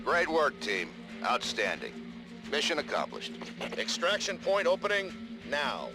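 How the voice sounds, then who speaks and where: A man playing a businesslike military squad commander in a video game, giving crisp orders and briefings. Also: a little high, wildly swooping pitch, measured pace, full character voice. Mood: approving. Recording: loud music under the voice.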